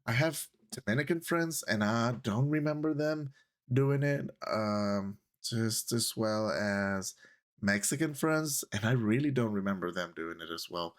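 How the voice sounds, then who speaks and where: A man's voice: on the low side, expressive, measured pace. A man in his thirties with a Puerto Rican accent, chatting casually to his viewers and rambling a little. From Puerto Rico. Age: 30s. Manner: chatty, rambling, switches into Spanish phrases. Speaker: YouTube creator. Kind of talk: casual talk to camera.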